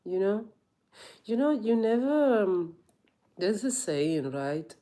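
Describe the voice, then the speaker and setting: A woman's voice: medium pitch, sing-song, slow, dark tone. A woman yoga teacher in a reflective personal monologue, earnest and rambling, often saying "you know".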